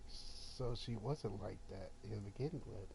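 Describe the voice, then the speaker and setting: A man casually commenting over his gameplay, talking in a halting way with many fillers and restarts. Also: lowish voice, vocal fry, background noise. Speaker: gameplay streamer.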